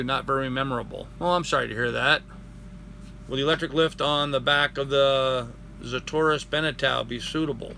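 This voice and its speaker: Bright-voiced older man hosting a live stream. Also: mid-range voice, vocal fry, slight background noise. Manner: rambling, friendly, greets viewers by name. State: hoarse. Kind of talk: casual live stream chat reading viewer comments.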